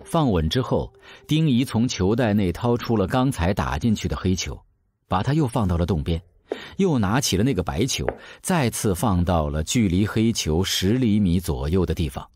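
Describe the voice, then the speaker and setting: A man's voice: low-pitched, big pitch swings, normal speed, darkish tone, breathy edge. A man narrating an audio drama in a scripted storytelling style.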